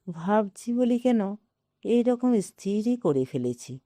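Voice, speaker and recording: Opinionated elder brother for audio fiction, voiced by a woman: solemn, deliberate pace, dry close sound. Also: mid-range voice, big pitch swings, warm tone, no room sound. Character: grave, zealous, opinionated elder brother, a grown man.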